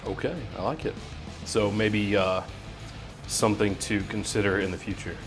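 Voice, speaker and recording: A man's voice: lowish voice, steady tone, normal speed, over music. A man working as an interviewer, putting casual questions to his guest.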